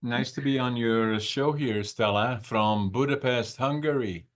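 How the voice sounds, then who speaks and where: A man in his eighties from the Netherlands, a podcast guest who talks over a video call and recalls his childhood in slow, reflective stories with many pauses. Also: mid-range voice. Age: 80s. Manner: unhurried, reflective, with frequent "uh" pauses. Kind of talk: conversational storytelling.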